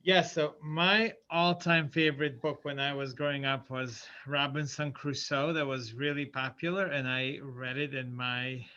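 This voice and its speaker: Bright-voiced man, lo-fi sound. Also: mid-range voice, steady tone, a little slow, hardly any pauses. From Bosnia. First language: Bosnian. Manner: cheerful, praises the children. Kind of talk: casual remarks at a school event. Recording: dull lo-fi sound.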